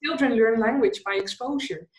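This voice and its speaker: A woman's voice: a little high, normal speed. Dutch woman, English second language, engaged podcast guest, roomy-sounding recording. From Netherlands. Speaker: guest, conversation designer. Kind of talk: podcast interview. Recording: roomy echo.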